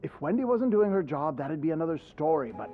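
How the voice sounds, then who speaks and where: A man's voice: fairly high pitch, sing-song, fast, narrow, tinny audio. A man playing an exasperated, defensive hotel manager in a dramatic video game scene. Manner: impatient, exasperated. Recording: music behind the voice.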